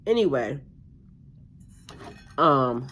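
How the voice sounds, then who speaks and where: A woman's voice: very deep voice, sing-song, drawn-out words, a bit bright. A middle-aged woman chats to camera as a tarot reader, drifting from thought to thought with plenty of ums and restarts. Her nose sounds a bit stuffy. She sits at home with a faint hiss behind her. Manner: meandering, with many fillers and restarts. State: slightly stuffy-nosed. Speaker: tarot reader and spiritual coach. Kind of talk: casual rambling monologue to camera.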